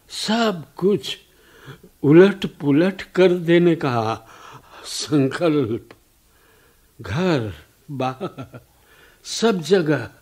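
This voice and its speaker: Slow, fervent ailing veteran activist. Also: male voice, a little high, warm tone, heavily acted. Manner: halting, emphatic, dramatic pauses. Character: ailing veteran activist reproaching his old comrade, fervent. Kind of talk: Hindi radio drama acting.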